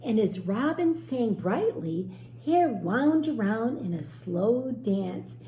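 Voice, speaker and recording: A woman teacher reading a storybook aloud expressively to young children, pausing to explain and ask questions. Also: on the high side, sing-song, dark tone. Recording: roomy echo, faint background noise.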